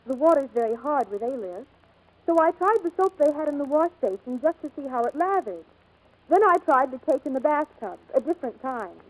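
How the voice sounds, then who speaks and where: An actress playing a chatty, enthusiastic endorser in a scripted 1940s radio commercial. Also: female voice, high-pitched, a little mellow, low-quality recording. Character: chatty, enthusiastic young woman product endorser. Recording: vintage 1940s radio recording.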